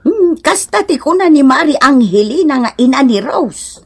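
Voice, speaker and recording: A woman's voice: high-pitched, sing-song, normal speed. A woman with an Ilocano accent playing a gossipy, nagging older village storekeeper in a radio comedy drama.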